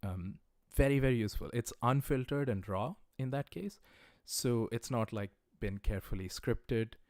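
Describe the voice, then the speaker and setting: A man teaching a livestreamed lesson in a relaxed, conversational way, with many "uh" and "um" fillers, encouraging viewers as he recommends study resources. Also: medium pitch, normal speed, no echo, studio quality. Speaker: language teacher.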